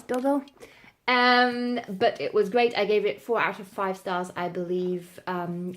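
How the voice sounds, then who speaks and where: A woman talking casually and informally as a book vlogger, thinking aloud as she goes. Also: mid-range voice. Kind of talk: casual spoken reading vlog.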